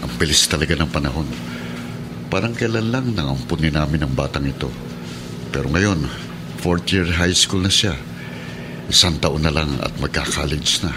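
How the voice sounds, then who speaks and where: A man's voice: a bass voice, normal speed, long pauses, noisy background. An actor playing a kind, protective middle-aged Filipino policeman and adoptive father in a radio drama. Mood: fond. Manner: earnest, encouraging, heated when challenged.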